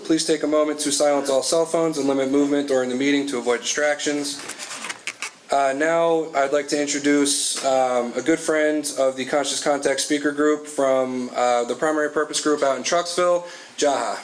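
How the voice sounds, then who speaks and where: A man's voice: a little high, steady tone, slight background noise. Hesitant man chairing a meeting. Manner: slow, hesitant, with fillers. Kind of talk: meeting announcements and introduction.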